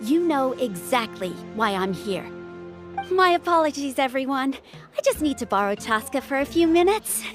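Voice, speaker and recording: An actress playing a fussy, exasperated younger sister and dutiful young doctor in a video game, scolding and emphatic. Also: female voice, high voice, expressive, normal speed, music in the background. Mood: stern.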